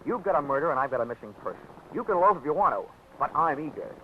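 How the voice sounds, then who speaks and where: A man's voice: on the high side, expressive, fast talker, close to an everyday voice. Cocky, breezy hard-boiled investigator, played by a man, muffled vintage radio with heavy hiss. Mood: wisecracking. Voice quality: breezy, wisecracking. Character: wisecracking insurance investigator, cocky. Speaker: narrator and lead. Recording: dull old radio broadcast with strong hiss.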